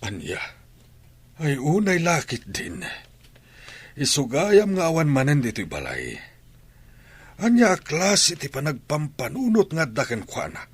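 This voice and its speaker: A man playing a worried, grumbling older father with a rough, husky voice in an audio drama. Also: fairly high pitch, huge pitch swings, measured pace, pauses often, heavily acted. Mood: disapproving. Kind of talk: dramatic radio-drama dialogue.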